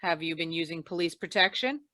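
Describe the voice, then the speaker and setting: A woman playing a wry, shrewd hard-boiled insurance investigator in deadpan 1940s radio noir style. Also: lowish voice, fairly quick, dry recording, clean studio sound. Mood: wry, probing.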